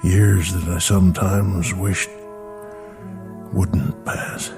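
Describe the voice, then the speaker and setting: An actor playing an aged, lonely space pilot, resigned and wistful, in halting vintage television drama style. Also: male voice, low-pitched, little pitch movement, gravelly, full character voice. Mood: sorrowful resignation. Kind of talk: mid-century television drama acting. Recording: vintage soundtrack with loud music behind. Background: dramatic music.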